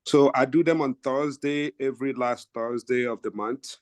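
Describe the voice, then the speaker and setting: A man from Nigeria, a real estate agent calmly walking his team through his methods on a video call. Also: medium pitch, normal speed, no room sound, studio quality. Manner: steady, methodical, stacks up examples with fillers. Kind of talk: casual explanation in a team video meeting.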